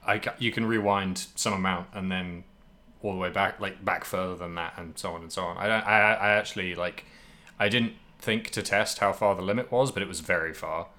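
A man's voice: low voice, steady tone, brisk, sharp tone. A man co-hosting a podcast, talking casually about video games with wry, mock-dramatic phrasing and casual swearing. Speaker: podcast co-host. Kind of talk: casual video game discussion.

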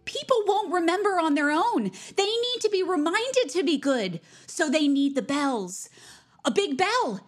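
A woman playing a zealous, nosy high school senior in an audio drama, pushy and earnest in a self-righteous way. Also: big pitch swings, measured pace, faint music behind, exaggerated voice. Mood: fervent.

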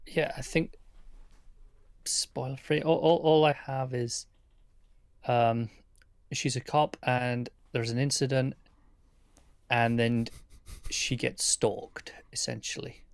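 A man co-hosting a casual film chat while ill, his voice hoarse and gravelly, at times fading to a whisper. The sound is dry and close, with no room around it. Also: mid-range voice, fairly even intonation, slow pace, long pauses, no room sound. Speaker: podcast co-host.

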